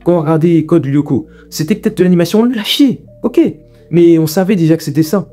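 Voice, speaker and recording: A man's voice: on the high side, a little fast, warm tone, soft background music. A young man hosting a video essay, giving informal, opinionated commentary in an emphatic, repetitive, colloquial way.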